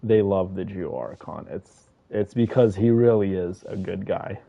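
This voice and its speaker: A man's voice: sits low, a little slow, gravelly, warm tone, lo-fi sound. A man doing casual live game commentary and chatting with his viewers. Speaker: game streamer. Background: game music and dialogue.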